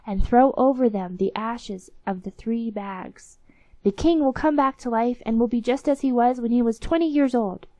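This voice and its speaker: A woman's voice: a little high, dark tone, no echo, pitched above the performer's own voice, close to an everyday voice, rough recording. A woman voicing an old ragged beggar woman in a storybook tale, emphatic in the way she pleads and instructs. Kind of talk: storybook character voice.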